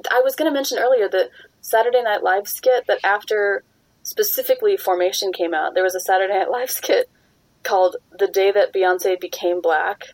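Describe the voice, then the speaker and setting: A young woman hosts a casual podcast in a mid-range voice, chatty and quick to laugh. Her recording is dry and close, and she keeps nudging the conversation back to the topic. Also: mid-range voice, fairly even intonation, dry recording, poor audio. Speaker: podcast host. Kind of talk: casual chat.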